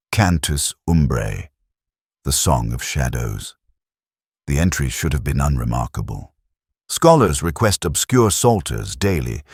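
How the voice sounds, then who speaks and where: A man playing a weary, literate police inspector, narrating a detective drama in a measured, melancholy, literary way. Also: very deep voice, no room sound, clean studio sound, heavily acted. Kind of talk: scripted first-person detective narration.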